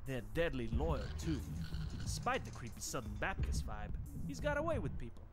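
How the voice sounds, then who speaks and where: An actor playing a cynical, streetwise vampire gangster in a video game, talking in tough, confiding slang. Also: male voice, a little high, wildly swooping pitch, moderate pace. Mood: wary. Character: streetwise cynical male vampire gangster, wary, foul-mouthed. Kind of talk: scripted video game dialogue. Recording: game music behind the voice.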